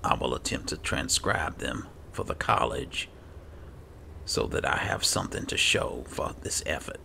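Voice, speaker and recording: A man murmuring low-key game commentary, thinking aloud and reading bits as he plays. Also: lively intonation, a little slow, creaky, sharp tone, slight background noise. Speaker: gamer host playing and roleplaying a fantasy game. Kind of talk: casual game commentary with read-aloud notes.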